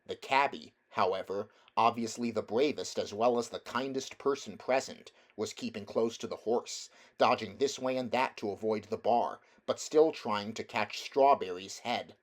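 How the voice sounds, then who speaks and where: A man's voice: lowish voice, moderate pace, few pauses. A man reading a children's fantasy novel aloud as scripted storybook narration. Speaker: amateur audiobook reader.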